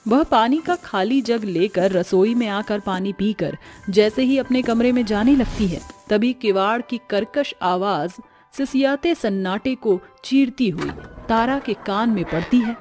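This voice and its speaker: A woman's voice: medium pitch, a little slow, a little mellow, soft background music. A woman narrating a scripted cartoon story in a dramatic storytelling style.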